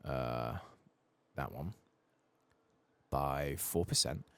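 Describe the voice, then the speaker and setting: Very deep, soft-spoken man, creaky. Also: very slow, dry recording. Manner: casual muttering, swearing mildly. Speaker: ASMR gaming channel host. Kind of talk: soft-spoken ASMR game playthrough commentary.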